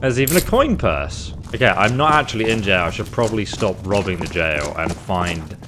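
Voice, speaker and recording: A man's voice: sits low, animated, moderate pace. A man in his thirties giving casual gameplay commentary with dry, wry humor. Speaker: video game YouTuber. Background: game music.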